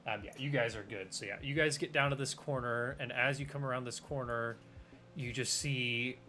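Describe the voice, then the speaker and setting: Bright-voiced young game master rambling. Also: male voice, mid-range voice, steady tone, normal speed, slight background noise. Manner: rambling, many fillers and restarts. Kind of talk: casual tabletop game narration.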